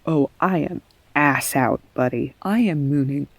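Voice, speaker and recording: A woman's voice: low voice, a little slow, dry recording. A young woman playing an affectionate, self-deprecating girlfriend in an intimate roleplay audio, rambling candidly and casually. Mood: giddy, self-mocking. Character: affectionate, self-deprecating girlfriend comforting her partner, goofy storyteller.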